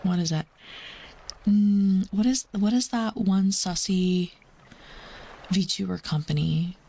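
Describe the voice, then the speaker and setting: A woman livestreamer chatting casually with her viewers in a rambling, informal way, sometimes dropping into a soft near-whisper. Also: mid-range voice, takes it slowly, vocal fry, slight background noise. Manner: chatty, rambling, calls herself Mama.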